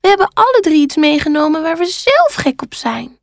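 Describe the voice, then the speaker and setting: A woman's voice: high voice, sing-song, a little fast, creaky, no room sound, studio quality, pitched above the performer's own voice, exaggerated voice. A woman voicing a kind, curious young male panda in a children's story, speaking earnestly. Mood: earnest, rueful.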